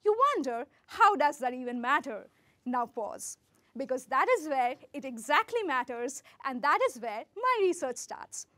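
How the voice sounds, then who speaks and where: Woman, grad student, three-minute talk; high, very lively voice, close and dry. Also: moderate pace, no room sound. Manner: earnest, rehearsed, speaks to listener directly. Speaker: graduate student presenter. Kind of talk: timed research talk.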